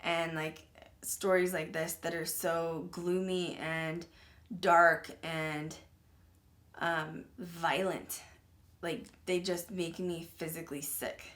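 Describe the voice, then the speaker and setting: A woman with a somewhat low voice, talking casually to her viewers as a podcast host. She rambles and fills her talk with "like" and "um", sounding uneasy about the dark subject. She keeps clearing her throat and says she is losing her voice. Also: level tone, takes it slowly. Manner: rambling, filler-heavy, with many "like" and "um". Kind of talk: casual true-crime retelling to viewers.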